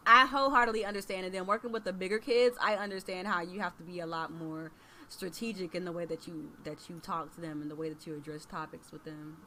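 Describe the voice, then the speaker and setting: A woman in her thirties, a kindergarten teacher hosting a casual chat over a video call, animated and expressive, laughing often. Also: lowish voice, quick pace, slight background noise. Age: 30s. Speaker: kindergarten teacher and podcast host.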